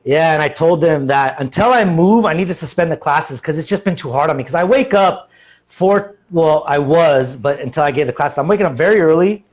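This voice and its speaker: An American man who teaches English, talking easily in a relaxed, casual chat full of fillers and restarts. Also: fairly high pitch, normal speed. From United States. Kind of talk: casual conversation.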